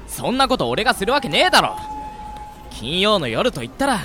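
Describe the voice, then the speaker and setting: A man playing an upbeat, excitable high school boy in an amateur radio drama, talking in playful, casual slang. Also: fairly quick, faint music behind, exaggerated voice. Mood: playful, eager.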